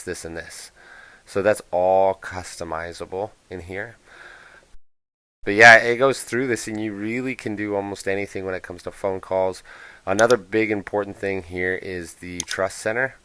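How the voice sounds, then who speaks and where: A man presenting a casual software walkthrough in a plain-spoken, explanatory, off-the-cuff way. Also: low-pitched, no room sound.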